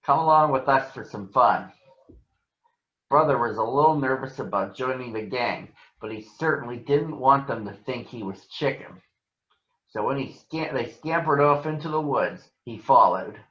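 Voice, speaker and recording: A man reading a children's story aloud in an expressive, deliberate storytelling manner. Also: mid-range voice. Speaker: storybook reader. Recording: roomy echo, dull lo-fi sound.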